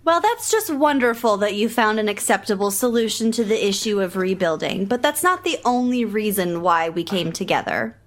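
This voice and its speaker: A woman's voice: medium pitch, expressive, moderate pace, hardly any pauses, natural voice. Woman acting as icy, condescending councilwoman. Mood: curtly polite. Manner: condescending, pointed. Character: irritated, icy, haughty councilwoman with plastic politeness. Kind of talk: audio drama acting.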